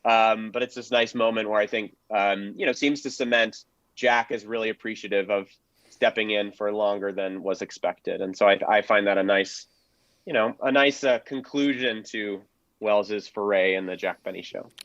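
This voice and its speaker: A man's voice: on the low side, normal speed, a bit bright, no room sound. A man speaking as a panel historian, explaining things casually and informally. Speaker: panelist, film and radio historian. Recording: dry, slightly dull lo-fi mic.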